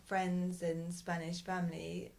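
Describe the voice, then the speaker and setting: A woman being interviewed as a parent in a casual conversation, talking thoughtfully and hesitantly with frequent pauses and restarts. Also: fairly low pitch, level tone, vocal fry, darkish tone. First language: English.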